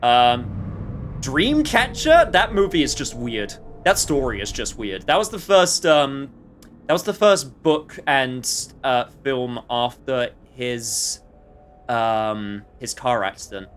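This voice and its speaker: A man's voice: medium pitch, very animated, slow pace, a bit bright, a little hiss or hum. A man livestreaming a video game with casual commentary, chatting to viewers in a rambling way with jokey asides.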